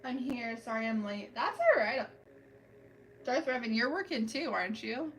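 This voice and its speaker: A woman's voice: fairly high pitch, sing-song, normal speed, fairly bright tone, far from the mic, a little hiss or hum, rough recording. A woman hosting a casual livestream, chatting with viewers while she paints her nails and talks through each step. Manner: chatty, self-narrating, talks to chat while working. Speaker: livestream host doing nail art. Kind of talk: casual chat with live viewers. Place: home, doing a manicure.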